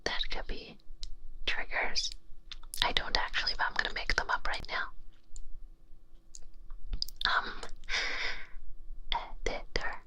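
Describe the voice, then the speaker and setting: A woman speaks in a breathy whisper with a strikingly bright, crisp-edged tone. She rambles casually in ASMR fashion, close to the microphone. Her manner is informal, playful and self-deprecating. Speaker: ASMR creator.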